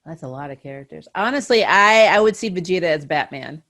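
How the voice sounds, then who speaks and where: A woman's voice: fairly low pitch, lively intonation, fairly quick, a bit bright. A woman hosting a casual, enthusiastic chat about comics and anime, often breaking into laughter. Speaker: podcast host and interviewer.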